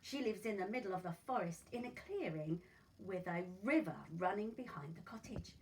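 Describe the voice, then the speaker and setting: A woman's voice: mid-range voice, lively intonation, moderate pace, close to an everyday voice. A woman voicing a sweet, trusting little girl in a children's story, with a wide-eyed, singsong manner. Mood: chatty, trusting. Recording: voice far from mic, noisy room.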